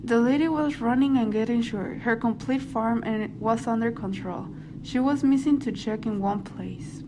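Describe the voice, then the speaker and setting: A woman's voice: fairly high pitch, normal speed. A woman reading scripted narration for an amateur radio drama. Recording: noisy amateur home recording.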